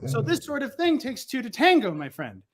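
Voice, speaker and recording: A man's voice: very high voice, huge pitch swings, fairly quick. A man explaining ideas conversationally as a podcast guest, analytical and precise in wording, pressing points with emphatic rhetorical questions.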